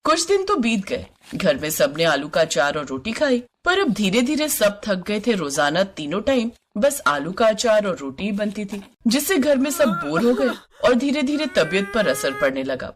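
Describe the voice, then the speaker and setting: Woman storyteller, scripted kahani narration, mid pitched voice. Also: very animated, normal speed, few pauses, a little hiss or hum.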